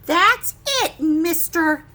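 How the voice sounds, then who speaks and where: Woman playing a stern female teacher, high bright voice, exasperated, slow emphatic commands, picture-book read-aloud. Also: heavily acted. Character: stern, exasperated female schoolteacher scolding a small boy.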